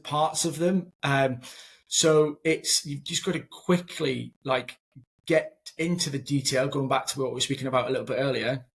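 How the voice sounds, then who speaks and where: A man's voice: medium pitch, husky. A man in his thirties from Scotland, a company founder interviewed over a video call, talking earnestly and often repeating words as he thinks. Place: small home room.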